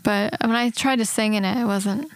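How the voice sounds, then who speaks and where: A woman co-hosting a podcast in casual chat, talkative and quick to laugh, with plenty of filler words. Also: mid-range voice, steady tone, no echo. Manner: chatty, laughs often, lots of "like". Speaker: podcast co-host.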